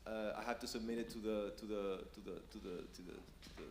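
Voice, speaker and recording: A man's voice: fairly low pitch, steady tone, fast talker. Echoey, hesitant Chilean man asking a question. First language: Spanish. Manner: hesitant, searching for words, repeating. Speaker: audience member asking a question. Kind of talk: spoken question at a seminar. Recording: roomy echo, faint room noise.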